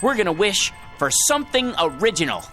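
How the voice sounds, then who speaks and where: An animated, hapless everyman with grand pretensions. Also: high-pitched, brisk. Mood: rueful. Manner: theatrical, shifts from pompous to faltering. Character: hapless, grandiose everyman dreaming of being president, then genie. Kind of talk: radio drama acting. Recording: radio drama with music underneath.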